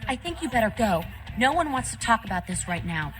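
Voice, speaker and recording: A woman's voice: a little high, big pitch swings, fairly quick, a bit bright, faint music behind. An actress playing a terse female character in a vampire video game. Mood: curt.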